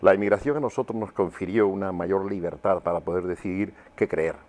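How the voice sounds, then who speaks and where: A man's voice: sits low, fairly quick, a little mellow. An older man from southern Spain, telling personal memories as an interview guest in a hesitant, reflective way. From Huelva, Andalusia, Spain. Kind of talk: personal testimony in conversation.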